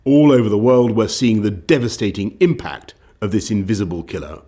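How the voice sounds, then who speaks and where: A man's voice: fairly low pitch, fairly quick, dark tone. A man delivering a formal public address as a public official.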